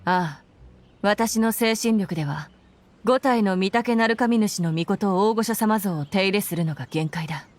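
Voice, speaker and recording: A woman's voice: mid-range voice, a little fast, natural voice. A woman playing a stern, dutiful military commander in a video game, formal, clipped and earnest. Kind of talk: Japanese video game voice acting. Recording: faint music behind.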